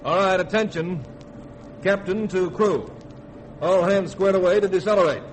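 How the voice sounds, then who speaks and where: A man playing a brisk, commanding starship captain in a 1950s radio drama, giving clipped, authoritative orders. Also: sits high, close to an everyday voice. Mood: commanding, formal. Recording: noisy 1950s radio broadcast recording.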